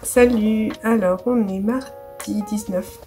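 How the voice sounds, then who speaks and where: A woman in her thirties from France, hoarse with a cold, talking softly and casually in her art studio vlog, with many fillers. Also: mid-range voice, steady tone, slow. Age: 30s. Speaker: illustrator. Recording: music playing behind.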